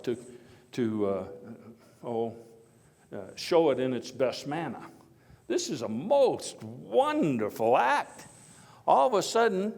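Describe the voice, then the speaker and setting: An actor playing an earnest, folksy statesman orator of early 1900s America in a theatrical first-person speech, emphatic but given to halting digressions. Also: mid-range voice, a little mellow. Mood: earnest, explanatory. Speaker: living-history performer. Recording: echoey hall with long reverb.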